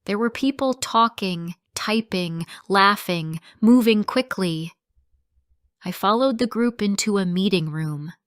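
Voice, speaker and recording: A woman reading a simple first-person story for English learners, in slow, clearly spoken sentences. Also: mid-range voice, no room sound, clean studio sound.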